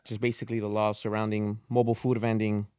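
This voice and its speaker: A man's voice: on the low side, level tone, quick pace, dark tone, clean tone, dry recording. A man hosting an interview, asking questions and giving brief encouraging replies. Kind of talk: casual interview. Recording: dull dry podcast audio.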